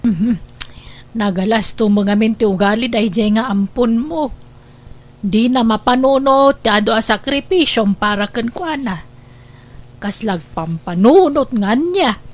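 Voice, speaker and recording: A woman's voice: fairly high pitch, unhurried. A woman with a Filipino accent acting an aggrieved, fretting grandmother in a radio drama. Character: aggrieved grandmother, fretting over her sacrificing daughter.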